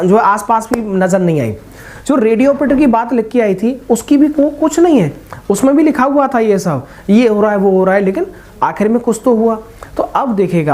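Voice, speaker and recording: A young man with a very mellow, soft-edged voice talks to viewers like an older study partner. He is informal and reassuring, offering motivational advice in a casual way. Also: sits high. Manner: informal, reassuring, addressing listeners as brothers. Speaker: exam-preparation video host.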